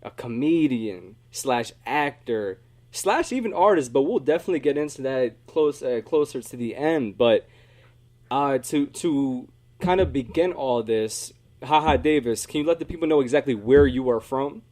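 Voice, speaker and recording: A man's voice: medium pitch, lively intonation, moderate pace, darkish tone. A man from the Philadelphia area hosting a podcast, asking questions in a casual, slangy way. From United States, Philadelphia area. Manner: slangy, informal. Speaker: podcast host. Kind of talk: casual interview.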